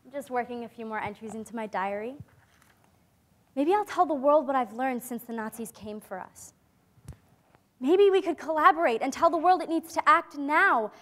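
Woman playing a teenage girl, very high voice, idealistic, reassuring, earnest stage drama delivery. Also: expressive, moderate pace, fairly bright tone. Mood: hopeful, eager. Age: young adult. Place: conference stage before a seated audience. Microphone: lapel mic.

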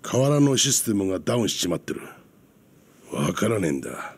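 Very deep, husky middle-aged man. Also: very animated, brisk, a little mellow, exaggerated voice. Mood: urgent, regretful. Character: gruff, world-weary middle-aged ally of the hero. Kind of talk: video game cutscene voice acting.

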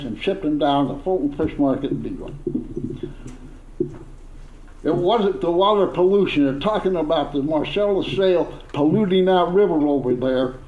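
A man's voice: fairly high pitch, phone-quality sound. An older man speaking bluntly and with agitation at a podium mic in a meeting hall. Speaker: public commenter. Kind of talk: impassioned public comment. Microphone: podium microphone.

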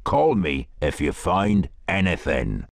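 An actor playing a gruff but kindly giant groundskeeper in a video game, with a gravelly, husky voice. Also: male voice, sits low, very animated, slow pace, heavily acted. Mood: cautious, protective. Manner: folksy, rustic, earnest. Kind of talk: video game character acting.

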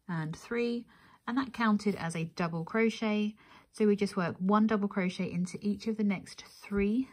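A woman's voice: medium pitch, darkish tone. A woman presenting a craft tutorial, giving methodical step-by-step instruction and counting as she goes.

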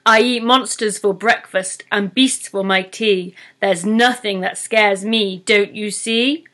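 A woman voicing a giant, greedy, boastful male troll with gruff, bullying talk and broken rustic grammar, in a storybook reading. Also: medium pitch, fairly even intonation, slow pace, fairly bright tone.

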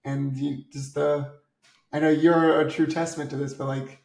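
An upbeat male host in his thirties leads an interview over a video call, with a fairly high, gravelly voice. The room around him is echoey, giving his speech a roomy ring. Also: flat delivery, moderate pace, a little mellow. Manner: upbeat, enthusiastic, with repeated words in questions. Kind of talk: scripted intro then interview questions. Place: indoor home office.

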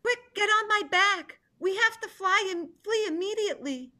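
A woman's voice: very high voice, normal speed, bright, forceful tone. A middle-aged woman playing a patient, fretful, motherly sea turtle with coaxing delivery in a play reading. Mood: alarmed. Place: living room indoors. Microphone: computer mic on video call.

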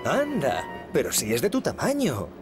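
A man playing a patient, cheerful young father in a Castilian Spanish cartoon dub, explaining things gently and encouragingly. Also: a little high, huge pitch swings, normal speed, over music. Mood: reassuring. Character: patient, cheerful young dad of a small boy. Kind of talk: children's cartoon dubbing.

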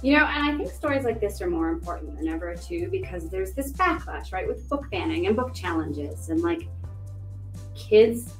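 A woman's voice: lowish voice, expressive, normal speed, soft background music, poor audio. A woman panelist speaking earnestly and emphatically about why children's books matter. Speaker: publishing staff panelist. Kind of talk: panel discussion.

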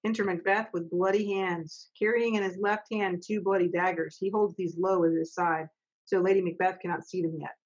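A woman's voice: fairly low pitch, fairly even intonation, normal speed, darkish tone, slightly rough. Middle-aged woman narrator, dull call audio. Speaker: stage manager reading stage directions. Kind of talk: plain reading of script directions. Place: home office, indoors. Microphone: computer mic on a video call.

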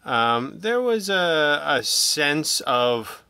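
A man being interviewed casually, speaking in a halting, digressive way and often interrupting himself. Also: fairly high pitch, sing-song, vocal fry, sharp tone, clear, resonant tone, no echo, studio quality. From Canada. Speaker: podcast guest, audio drama writer and producer.

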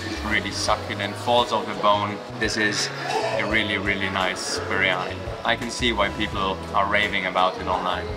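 A man's voice: on the low side, level tone, normal speed. A young man on a travel vlog, filming himself at arm's length and describing a meal with enthusiasm. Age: young adult. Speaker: travel vlogger. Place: indoor snack restaurant. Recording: music bed underneath.

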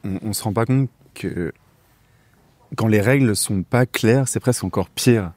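A man in his thirties hosting a casual interview, relaxed and thinking aloud as he talks. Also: lowish voice, measured pace, no echo. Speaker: host. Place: small indoor studio with couch. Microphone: lapel mic.

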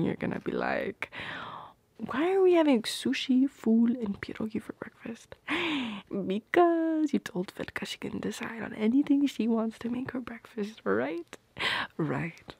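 Woman acting as loving protective girlfriend, ASMR roleplay, whispery, gravelly fry, slow, intimate, softly teasing. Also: on the high side, expressive, dry recording, exaggerated voice. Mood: playful, teasing. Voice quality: breathy, whispery. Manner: slow, intimate, tender murmuring. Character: tender, protective, playfully teasing girlfriend at bedtime. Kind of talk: ASMR romantic roleplay, whispered and soft-spoken. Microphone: close microphone.

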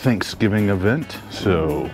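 A man co-hosting a casual outdoor cooking demo, talking in a relaxed, chatty way as he explains each step. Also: lowish voice, slow. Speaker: cooking show co-host. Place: outdoor campsite. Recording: music playing behind.

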